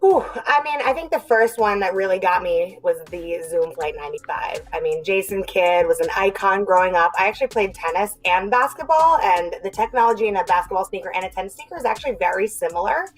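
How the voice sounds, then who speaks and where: A woman's voice: mid-range voice, expressive, brisk, few pauses, faint music behind, rough recording. A young woman from New Jersey, a podcast guest chatting casually and upbeat, acting out little quotes as she talks. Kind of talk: casual chat.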